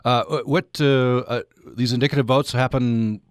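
A man's voice: medium pitch, fairly even intonation, normal speed, no echo, clean studio sound. A man hosting a radio interview, asking questions with hesitant stammers and restarts. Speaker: radio host.

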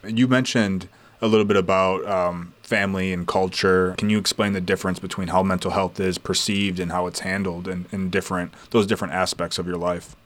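Male reporter, interview questions, hesitant fillers, very deep voice, flat delivery. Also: fairly quick.

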